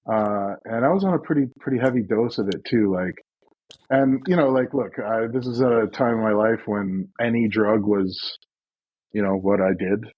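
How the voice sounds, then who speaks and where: A man's voice: on the low side, normal speed, husky, dry recording, lo-fi sound. A man in his forties telling a long, rambling story in casual chat, with lots of fillers and restarts. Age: 40s. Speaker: podcast guest.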